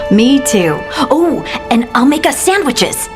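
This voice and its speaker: A kindly, cheerful young scout in a video game. Her friendly, informal lines are slow and full of lilt, with music behind. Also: high-pitched, very animated, full character voice. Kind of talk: video game character acting.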